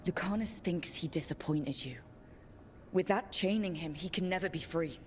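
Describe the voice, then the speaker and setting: A woman's voice: on the low side, normal speed, faint music behind, natural voice. A woman playing a steadfast, earnest, determined heroine in dramatic video game dialogue. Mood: firm, challenging.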